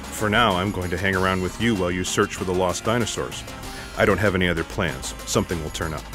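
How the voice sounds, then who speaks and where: Man as low-voiced, wry ex-secret agent. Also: quick pace, over music, lightly acted. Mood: resigned. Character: wry male ex-secret agent, disillusioned truth-seeker. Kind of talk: comic sci-fi audio drama acting.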